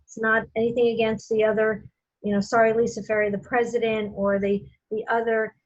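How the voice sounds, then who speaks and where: A woman's voice: a little high, little pitch movement, a little fast, dry recording. A woman hosting a casual video call meeting, rambling with many fillers and restarts. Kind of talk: casual meeting host talk. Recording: muffled video call audio.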